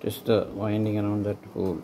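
A man giving an unscripted how-to narration while working at a table indoors, speaking haltingly in trailing phrases. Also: lowish voice, monotone. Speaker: hobbyist presenter. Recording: noticeable background noise.

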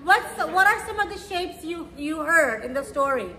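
A woman's voice: sits high, expressive, moderate pace, crowd noise. A woman reading aloud and teaching at children's storytime, speaking simply and encouragingly to young children. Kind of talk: read-aloud of a picture book for children. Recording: roomy echo with faint background noise.

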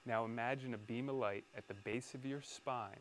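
A man leading a guided meditation in a soothing, deliberate manner, pausing between short phrases. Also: medium pitch, steady tone, slight background noise.

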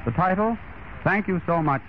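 Old 1930s radio guy doing fancy formal intros, slightly high voice, dull noisy recording. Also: animated, normal speed. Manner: formal, florid showman's phrasing. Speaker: radio show host introducing an act.

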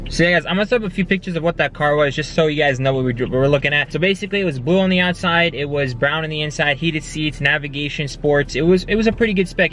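Young male car vlogger, quick and excitable, handheld camera outdoors, traffic noise behind. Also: fairly high pitch. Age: 20s. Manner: fast, excitable, laid-back slang. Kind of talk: casual vlog talk to camera. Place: in a car, then outdoors at a shop lot. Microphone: handheld camera at arm's length.

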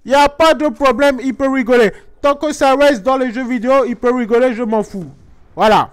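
Bernadette, a man doing a very high-voiced Guadeloupean mom. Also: brisk, smooth. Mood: chatty. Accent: Guadeloupean French. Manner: rambling, earnest, mock-naive explanations. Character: chatty middle-aged Guadeloupean mother, naive about games. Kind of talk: comic gameplay commentary in character.

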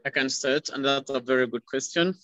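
A young man with a Kenyan accent giving a measured, informal panel answer over a video call. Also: mid-range voice, bright, forceful tone, no room sound. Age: young adult. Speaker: panelist, youth conservation leader. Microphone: video call, close device. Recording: muffled, dull video call audio.

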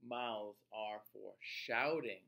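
A middle-aged man reading a picture book aloud to young children, expressive and deliberate, pausing to put questions to his listeners. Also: lowish voice, vocal fry.

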